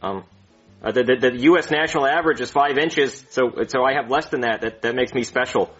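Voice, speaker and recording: A man's voice: mid-range voice, brisk, fairly bright tone, soft background music, natural voice. A man playing a bumbling, insecure doctor who rambles and stammers, then screams insults at himself, on a dull lo-fi recording. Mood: rambling. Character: bumbling insecure male doctor, self-loathing.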